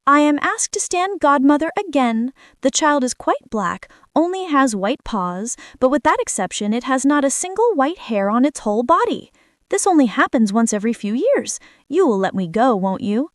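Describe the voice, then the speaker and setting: A woman's voice: sits high, big pitch swings, normal speed, no room sound, clean studio sound. A woman voicing a sly, greedy female cat in a children's fairy tale, with a cool, brazen manner. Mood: coaxing. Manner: cool, smooth-talking, brazen.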